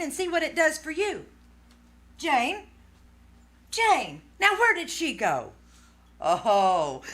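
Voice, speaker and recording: A woman's voice: on the high side, fairly bright tone. A woman playing a cheery, boastful young woman in a vintage rhyming radio commercial. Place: small theater stage, live performance.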